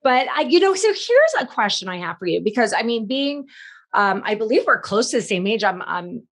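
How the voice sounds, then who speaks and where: A woman's voice: on the high side, big pitch swings, a little fast. A woman of fifty hosting a podcast, talking in a chatty, informal way during a casual interview. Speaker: podcast host.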